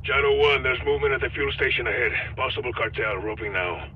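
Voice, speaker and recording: An actor playing a tense special forces soldier making terse radio reports from the ground. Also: male voice, mid-range voice, fast talker, highs cut off, slight background noise, natural voice. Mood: controlled, terse. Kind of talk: terse military radio chatter.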